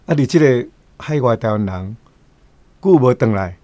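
An older man with a Taiwanese accent comments informally as a guest. His medium-pitched voice is conversational, dotted with tag particles, and recorded dry. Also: lively intonation, normal speed, dark tone, no room sound.

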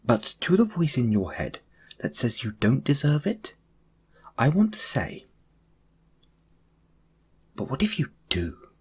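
Breathy, hushed man, slow and comforting. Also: medium pitch, huge pitch swings. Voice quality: breathy, hushed, at times whispering. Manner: gentle, reassuring, intimate. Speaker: audio roleplay performer. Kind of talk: soft comforting audio roleplay monologue.

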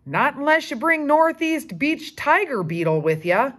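Lady doing a bossy dramatic little schoolgirl from a storybook, high and swoopy, exclaims everything. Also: very animated, unhurried, a bit bright, heavily acted. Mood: demanding. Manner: emphatic, exclaiming.

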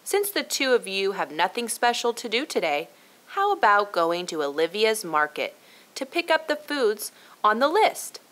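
Cheerful storybook father played by a woman narrator, gentle, with lively intonation. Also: mid-range voice, moderate pace. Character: easygoing, smiling dad giving his kids an errand.

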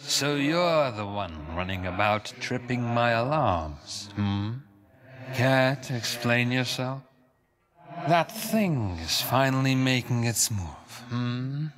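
A haughty, zealous duke delivers dramatic fantasy game dialogue. His pace is slow and measured, his manner condescending and theatrical, in a middle-pitched male voice. Ambient noise sits clearly behind him. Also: expressive. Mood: condescending, amused. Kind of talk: dramatic video game character acting.

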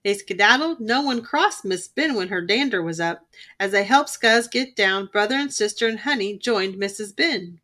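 A young adult woman giving a lively, expressive read-aloud of a children's storybook. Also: medium pitch, normal speed. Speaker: children's book reader.

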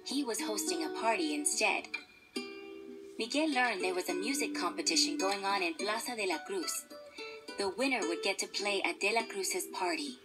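A woman narrating a children's story from a script, as a read-along storybook narrator. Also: medium pitch. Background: instrumental music.

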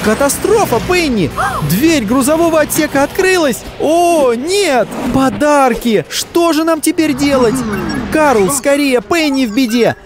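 Woman narrator for a children's cartoon, very animated pitch, cheery and encouraging, loud music underneath. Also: measured pace. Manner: cheerful, encouraging, exclamatory, talking to the characters and the kids. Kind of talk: lively scripted narration for young children. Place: voice-over studio.

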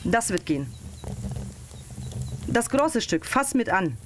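A woman's voice: mid-range voice, big pitch swings, takes it slowly, a little hiss or hum. An actress playing a brusque, commanding old medicine woman in an audio drama, speaking tersely. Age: older. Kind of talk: German audio drama acting.

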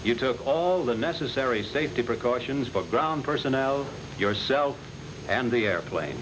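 A man's voice: mid-range voice, expressive, moderate pace. An American man reading a scripted 1940s instructional film narration, addressing the trainee directly in an instructive manner. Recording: noisy old 1940s film soundtrack.

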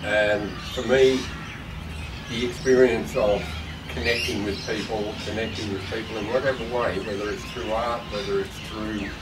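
Reflective man against loud outdoor ambience. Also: on the low side, normal speed, very noisy. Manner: reflective, gently animated, gesturing. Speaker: workshop teacher. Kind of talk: short speech to a gathering. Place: open-sided park pavilion outdoors. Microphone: far camera, no mic. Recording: distant outdoor sound with loud ambience and birds.

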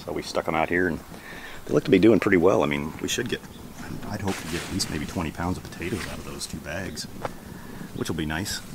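A middle-aged American man giving a casual, unhurried, rambling garden tour, recorded outdoors on a handheld camera. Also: low-pitched, vocal fry, very noisy. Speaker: homesteading vlogger. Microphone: camera held at arm's length.

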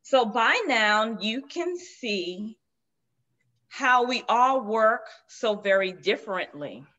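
A woman reads a picture book aloud at an unhurried, gentle pace. Her voice comes through a muffled, lo-fi video call. The call is from a room lined with children's books. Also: fairly high pitch, expressive. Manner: slow, gentle read-aloud. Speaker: guest storyteller. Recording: dull lo-fi video call audio.

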